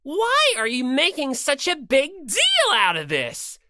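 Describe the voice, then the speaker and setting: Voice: high-pitched, wildly swooping pitch, takes it slowly, sharp tone, a little hiss or hum, pitched above the performer's own voice, full character voice. An audiobook reader voicing a gleefully cruel, vain, bossy bear mascot villain with mocking, theatrical delivery. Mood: mocking, exasperated. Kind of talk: character voice in fan audiobook.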